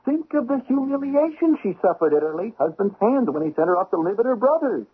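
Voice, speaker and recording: An actor playing an eager, insistent young investigator's secretary in a 1970s radio drama, with excitable, emphatic delivery. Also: male voice, sits high, a little fast, slightly rough, heavily acted. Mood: excited, triumphant. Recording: dull, lo-fi 1970s radio recording.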